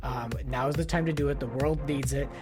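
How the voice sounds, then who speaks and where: A man's voice: mid-range voice, fairly even intonation, fairly quick, over music. A man hosting a podcast, giving a casual, exasperated and opinionated fan commentary peppered with "like". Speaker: podcast host. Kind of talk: casual pop-culture commentary rant.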